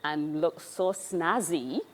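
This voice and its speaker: A woman's voice: deep voice, takes it slowly. A woman with a Barbadian accent hosting a casual interview, recorded with a long, hall-like reverb. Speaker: interviewer, host.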